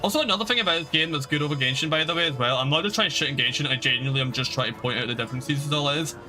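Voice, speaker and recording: A man's voice: fairly high pitch, racing. A man giving casual, chatty live commentary as he streams a video game. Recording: music playing behind the voice.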